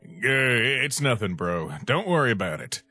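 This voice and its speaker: Casting: male actor as laid-back cartoon skeleton brother, unhurried casual drawl, over background music. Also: medium pitch, animated. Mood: evasive. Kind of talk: fan comic dub acting.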